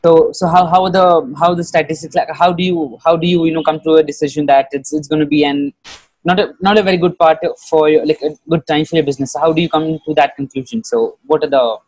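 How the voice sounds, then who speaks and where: A young man hosting a casual interview over a headset, halting and often repeating words. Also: a little high, fairly even intonation, a little mellow, no echo, rough recording. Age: young adult. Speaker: interviewer, host. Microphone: headset on video call.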